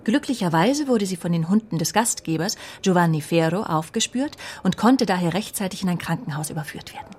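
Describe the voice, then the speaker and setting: An actress playing a cool, clever police secretary in a radio crime drama, poised and slightly sly. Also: female voice, lowish voice, animated, a little fast, few pauses, a little mellow, husky. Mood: matter-of-fact. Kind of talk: natural radio play acting.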